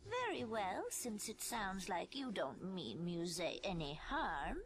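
A woman voicing a gentle, wistful blind old grandmother in a video game, speaking softly and kindly in a measured way. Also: mid-range voice, very animated, a little hiss or hum. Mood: sorrowful.

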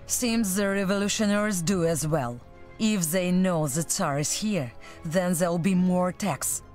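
A woman's voice: lowish voice, measured pace, darkish tone, faint music behind, lightly acted. A woman playing a loyal, resolute military officer in a video game, speaking in an urgent, commanding, earnest way. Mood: focused. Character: loyal, resolute female military officer and former security chief. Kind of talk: video game cutscene acting.

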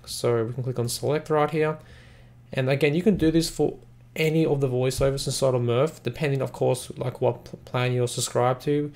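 A man hosting a casual software tutorial, explaining in a rambling, repetitive way. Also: medium pitch, fairly even intonation, normal speed. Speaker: software reviewer, tutorial host. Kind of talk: casual screen-recording tutorial narration.

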